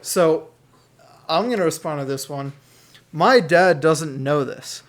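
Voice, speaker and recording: Young guy cohosting, kinda high voice, rambly chatty, keeps restarting. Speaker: co-host reading viewer comments. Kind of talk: casual chat.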